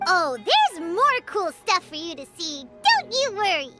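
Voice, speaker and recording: A woman's voice: extremely high pitch, huge pitch swings, unhurried, loud music behind the voice, exaggerated voice. A woman voicing a tiny, chirpy, cocksure childlike companion in a video game. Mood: upbeat. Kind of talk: animated video game voice acting.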